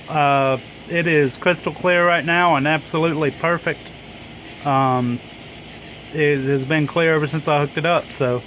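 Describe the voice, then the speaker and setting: Higher-voiced man, casual and rambling. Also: a bit bright. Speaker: amateur radio operator testing a radio. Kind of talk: casual radio chat. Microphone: handheld phone camera. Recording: noisy phone recording, insects, air conditioner. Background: insects chirping, air conditioner hum.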